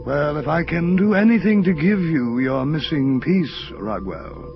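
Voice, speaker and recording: Audio drama part: serene, cryptic old angel, very slow formal delivery, dull sound with music underneath. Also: male voice, on the high side, animated. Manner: measured, formal, ponderous. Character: ancient wise male angel, serene, cryptic thinker.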